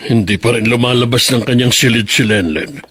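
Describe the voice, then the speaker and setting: An actor playing a worried, grumbling old grandfather who mutters to himself in a Filipino radio drama, his voice rough. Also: mid-range voice, fairly quick, fairly bright tone, a little hiss or hum, close to an everyday voice. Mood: brooding, troubled. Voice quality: husky, rough.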